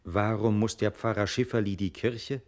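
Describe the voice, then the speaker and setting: An actor as a grave, brooding narrator of a literary radio play, speaking solemnly and posing rhetorical questions. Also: male voice, on the low side, fairly even intonation, normal speed, darkish tone, natural voice. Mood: solemn, questioning.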